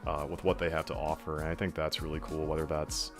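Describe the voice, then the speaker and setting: A man with a deeper than average voice, chatting as a guest and telling lively stories full of fillers. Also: fast, over music. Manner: animated storyteller, filler-heavy, laughs easily. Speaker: guest artist. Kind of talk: casual video call chat.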